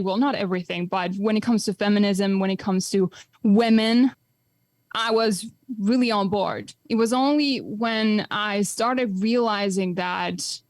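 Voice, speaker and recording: A woman's voice: medium pitch, dry recording. Reflective Finnish woman in her twenties. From Finland. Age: 20s. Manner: reflective, many fillers and restarts. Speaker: podcast guest. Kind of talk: casual podcast conversation.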